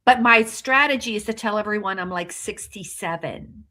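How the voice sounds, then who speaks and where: Bright-voiced woman in her sixties. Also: mid-range voice, moderate pace, a little hiss or hum. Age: 60s. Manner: upbeat, motivational, chatty. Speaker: co-host. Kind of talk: casual panel chat.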